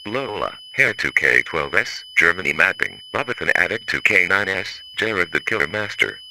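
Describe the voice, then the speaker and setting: A man's voice: sits low, sharp tone, slight background noise, full character voice, low-quality recording. A man playing a stern government emergency alert announcer, reading formal, stilted scripted warnings over a faintly humming broadcast.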